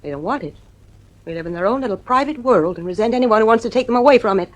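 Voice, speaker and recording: A woman's voice: fairly high pitch, lively intonation, quick pace, close to an everyday voice. A woman playing an anxious, frustrated wife and mother in a radio drama, earnest and insistent. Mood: upset, insistent.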